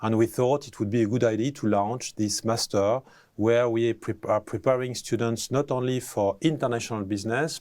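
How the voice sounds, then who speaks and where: A man, a professor answering interview questions, pausing often with fillers and restarting phrases. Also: on the low side, a little mellow. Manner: hesitant, many fillers and restarts.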